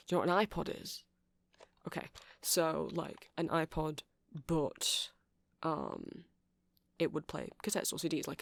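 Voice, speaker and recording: A performer playing a friendly, nerdy vampire music shop keeper in an ASMR roleplay, rambling softly and apologetically to a customer with hesitant, casual speech. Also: medium pitch, gravelly, dry recording, close to an everyday voice. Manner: soft, rambling, hesitant, self-deprecating. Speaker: store clerk.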